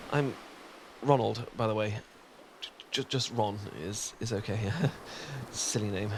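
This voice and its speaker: A man's voice: fairly low pitch, takes it slowly, pauses often, a little hiss or hum. A British man in an ASMR roleplay, talking in a flustered, stammering, self-deprecating way with nervous laughs and sighs.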